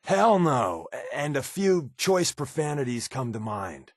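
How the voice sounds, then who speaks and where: Lively, wisecracking spy. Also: male voice, mid-range voice, a little slow, dry recording, clean studio sound. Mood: wry. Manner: casual, sardonic, deadpan quips. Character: wry, wisecracking, secretive male spy companion, paranoid. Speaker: video game voice actor. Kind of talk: game companion dialogue lines.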